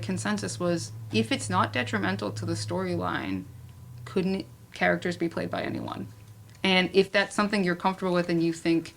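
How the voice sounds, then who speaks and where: A woman moderating a casual panel discussion, speaking conversationally with lots of "like" and "you know". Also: on the low side. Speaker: panel moderator, playwright and director. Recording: noisy room at a live event.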